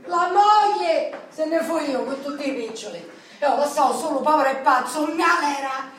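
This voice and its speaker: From far off in a heavily echoing hall comes a southern Italian woman, very shrill in pitch. She is plaintive and devoted, lamenting over her man in emphatic, theatrical radio-play dialect. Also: moderate pace, fairly bright tone, slight background noise. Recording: very echoey hall, distant mic.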